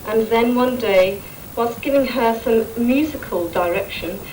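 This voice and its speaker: A woman's voice: on the high side, unhurried, a little mellow. A woman narrating an amateur pantomime in scripted rhyming verse. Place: stage performance. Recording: noisy amateur live stage recording.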